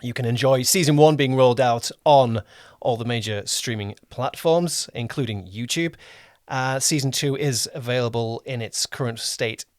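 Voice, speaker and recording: A man's voice: mid-range voice, moderate pace, hardly any pauses, dry recording, studio quality. A man hosting a podcast, interviewing a guest in a casual, conversational style.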